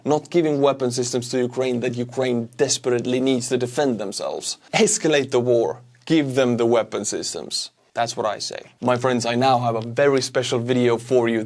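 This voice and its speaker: A man's voice: medium pitch, moderate pace, hardly any pauses. A man from Estonia gives casual, opinionated war news commentary as a show host, speaking bluntly and emphatically.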